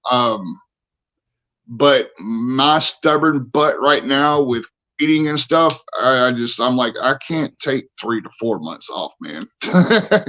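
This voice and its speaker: A man's voice: mid-range voice, pushed and bright, dull audio. A man in his forties, an army veteran guest on a podcast, talking candidly and earnestly with many restarts. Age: 40s.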